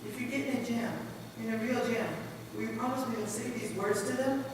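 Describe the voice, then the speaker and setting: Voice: mid-range voice, fairly even intonation, a little fast, far from the mic, lightly acted. A performer playing a missionary pilot's earnest young son in a readers theater piece. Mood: anxious, pleading. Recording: very echoey hall from afar, noisy.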